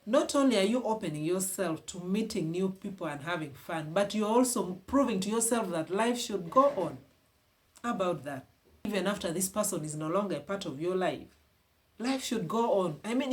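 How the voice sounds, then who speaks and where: A woman's voice: medium pitch, expressive, moderate pace. A woman with a Ugandan accent giving earnest heart-to-heart advice to her viewers, often repeating phrases for emphasis. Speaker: vlogger giving relationship advice. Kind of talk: casual advice talk to camera.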